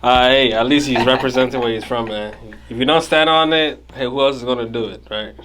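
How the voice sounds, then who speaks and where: A man's voice: medium pitch, brisk, fairly bright tone. A man hosting a podcast, bantering in an upbeat, hype-man way. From Ghana. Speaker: podcast host. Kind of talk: casual interview chat.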